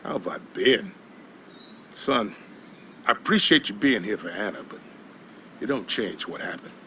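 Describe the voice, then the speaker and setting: Older protective dad, folksy and gruff, lowish forceful voice, slight background ambience, game drama. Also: male voice, expressive, moderate pace, slight background noise. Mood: weary, grave. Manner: folksy, plain-spoken. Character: gruff, protective small-town father, older man. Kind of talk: video game dialogue acting.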